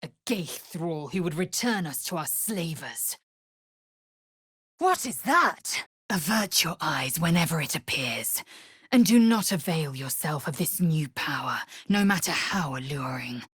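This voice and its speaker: An actress playing a fierce, proud young female alien warrior in a video game, with a husky, raspy voice and a clipped, haughty, formal manner. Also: deep voice, sing-song, unhurried, fairly bright tone, dry recording, clean studio sound, heavily acted. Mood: stern warning. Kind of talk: video game character voice acting.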